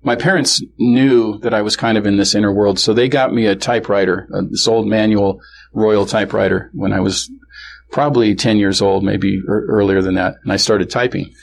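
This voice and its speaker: A man being interviewed, recalling childhood experiences candidly, halting with many fillers and restarts. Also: lowish voice, fairly even intonation, gravelly, slight background noise. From grew up bilingual in a Norwegian family. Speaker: interview guest. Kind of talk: candid personal recollection.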